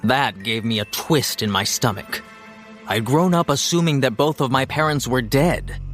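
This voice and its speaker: A man's voice: mid-range voice, normal speed, a bit bright, faint music behind, close to an everyday voice. An actor playing a wry, self-mocking young hero who narrates his own adventure in an audio drama, confiding. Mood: reflective. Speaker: first-person narrator.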